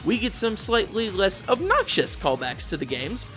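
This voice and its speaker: A film reviewer narrates a scripted video essay with plenty of swing in the voice. The pitch sits in a middle register and the phrasing is casual, blunt and opinionated, with some swearing. Light music plays underneath. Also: huge pitch swings, moderate pace, fairly bright tone.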